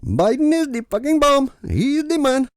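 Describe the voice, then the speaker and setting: A man voicing a loud, excitable van driver in a high strained voice. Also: moderate pace, no echo, studio quality. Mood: bellowing.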